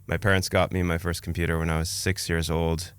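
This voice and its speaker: A man's voice: sits low, level tone, fairly quick, clean tone, dry recording. A man, telling his own story conversationally as a podcast guest. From Canada, born in Romania.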